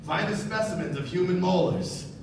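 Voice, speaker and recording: A man's voice: high voice, normal speed, lightly acted. Man playing a courtly old gangster, echoing stage. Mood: smug, sociable. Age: older. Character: genteel, sinister older gangster and gambler. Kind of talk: 1940s-style live radio play acting. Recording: echoey stage, distant mic, noisy room.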